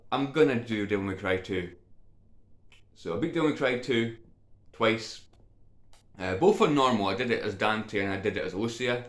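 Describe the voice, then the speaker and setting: A man chatting casually to viewers as a video game vlogger, slightly congested with a cold, in an informal, hesitant, meandering way. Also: on the low side. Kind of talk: casual rambling chat to viewers. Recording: echoey untreated room.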